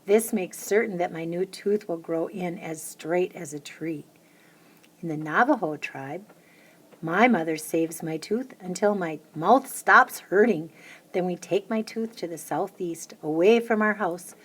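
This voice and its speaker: A woman reading a children's picture book aloud, lifting her voice high and bright for the little chants. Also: a little slow, husky. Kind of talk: storybook read-aloud.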